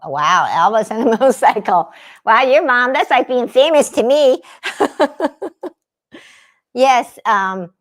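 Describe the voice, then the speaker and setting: A woman from the San Francisco Bay Area chatting casually with viewers on a livestream while she paints in her home art studio, meandering between painting and answering comments. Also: mid-range voice. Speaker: livestream host and spiritual artist. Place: home art studio under daylight lamps.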